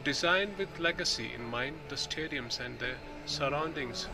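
A man filming in an indoor exhibition hall, reading the display panels aloud slowly, pausing between phrases and restarting when he slips. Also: medium pitch, noisy background. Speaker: video host touring an exhibition. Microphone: handheld phone camera. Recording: loud music in the hall. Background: music playing.

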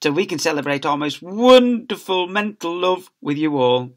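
Man putting on a high, cooing doting mother. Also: animated, moderate pace, close to an everyday voice. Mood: gushing. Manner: cooing, cloying baby talk. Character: doting, over-emotional mother teacher, cloyingly sweet.

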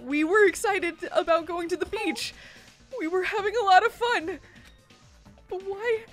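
A woman voicing a distraught, panicked young man in a video game, loud and pleading. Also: very high voice, measured pace, soft background music, voice pushed up. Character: panicked young man wailing over a friend's death.

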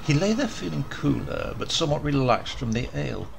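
A man's voice: medium pitch, sing-song, brisk, vocal fry, faint music behind. A man narrating a short story as a scripted, dramatic audiobook reading.

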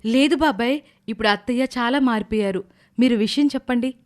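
A woman acts a young daughter-in-law in a family drama story. Her voice is high, and she speaks politely, with patient care and respect. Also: a little fast, close to an everyday voice. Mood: polite, calm. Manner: polite, soft-hearted.

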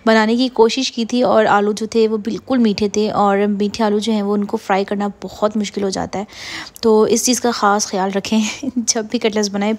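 A woman, casually narrating a home cooking vlog in a rambling way, with frequent fillers and soft laughs. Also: medium pitch. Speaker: vlogger. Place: home kitchen.

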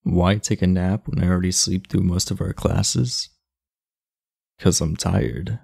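Man playing a deep, gravelly teenage delinquent boy. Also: steady tone, measured pace, darkish tone, dry recording, clean studio sound, heavily acted. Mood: sardonic, guarded. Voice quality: gravelly, low. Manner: blunt, sardonic, guarded. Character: aloof, irritable, sleepy teenage boy, class delinquent. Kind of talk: ASMR roleplay, speaking to the listener.

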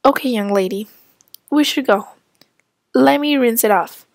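A woman's voice: on the high side, unhurried, vocal fry, no room sound, clean studio sound, pitched below the performer's own voice. A woman voicing a mother who firmly scolds her young daughter. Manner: firm, scolding.

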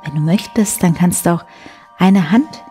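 A woman in her forties hosting a bedtime show, guiding the listener gently and soothingly with a soft, breathy voice. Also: lowish voice, moderate pace. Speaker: host of a bedtime story show. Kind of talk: guided sleep meditation, soft bedtime storytelling. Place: indoor room beside a wood stove. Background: soft music.

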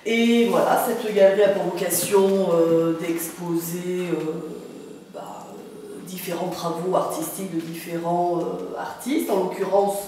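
A middle-aged woman from French Guiana giving an informal interview about her gallery, speaking hesitantly with long pauses as she searches for words. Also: fairly low pitch, fairly even intonation, mellow. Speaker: artist and gallery owner being interviewed. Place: indoor art gallery with hard floor. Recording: very echoey room, distant mic, faint noise.

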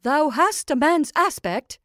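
Woman acting a loud, high-pitched domineering old witch. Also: expressive, unhurried, dry recording, clean studio sound. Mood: stern. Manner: emphatic, declamatory, archaic speech. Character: domineering old witch, gleefully scornful and coaxing.

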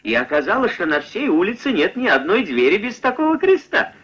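A man narrating a puppet-film folk tale in a theatrical, expressive storybook style. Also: high voice, brisk, breathy edge. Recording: dull old film soundtrack. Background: music.

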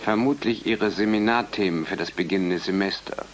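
Man playing a submissive low-voiced brain servant. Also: a little fast, a little hiss or hum, natural voice. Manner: formal, submissive. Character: obedient, quietly proud disembodied mathematician brain, number forty-five. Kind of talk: German radio play acting.

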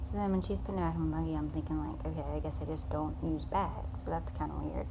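A woman's voice: sits low, flat delivery, fast talker, noisy background. A young woman, rambling through a casual vlog into her phone camera, full of fillers and restarts. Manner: rambling, filler-heavy storytelling. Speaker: vlogger. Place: parked car, then a home living room at night. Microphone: phone camera close up.

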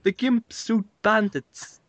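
A man's voice: high voice, steady tone, takes it slowly, dry recording. A man with a Northern Irish accent from Derry giving short, casual replies in a prank phone call. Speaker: prank caller. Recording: dull lo-fi phone call sound.

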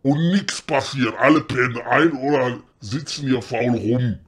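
A man playing a grumpy, bossy woodcarver in a comedy audio drama, blunt and colloquial, with a husky voice. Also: mid-range voice, measured pace. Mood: irritated. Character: grumpy, bossy male woodcarver of a fairy-tale workshop.

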